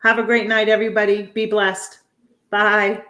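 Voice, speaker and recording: A woman's voice: mid-range voice, monotone, moderate pace, bright, forceful tone, muffled recording. An American woman appearing as a guest on a casual live chat, friendly and chatty. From United States. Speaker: guest homesteader.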